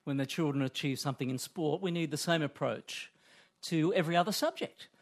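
A man with a gravelly, husky voice pitched a bit high for a man. He cracks jokes in loose panel chat, always looking for the punchline. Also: brisk. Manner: jokey, wisecracking.

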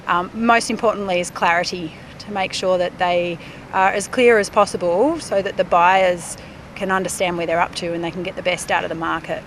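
A middle-aged woman, a speech pathologist, explaining her work in a measured way with fillers. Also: lowish voice, steady tone, a little hiss or hum. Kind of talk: interview.

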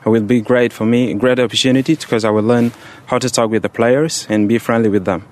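A young man of seventeen, from Egypt, speaks in a medium-pitched voice. He answers interview questions casually and simply, with some hesitation as he finds his words. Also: fairly even intonation, fairly quick, a little mellow. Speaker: student on a referee course, interviewee.

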